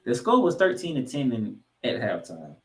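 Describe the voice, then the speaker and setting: A man hosting a sports podcast, giving football commentary in an emphatic way, with dramatic pauses and repeated phrases. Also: medium pitch, wildly swooping pitch, rough recording.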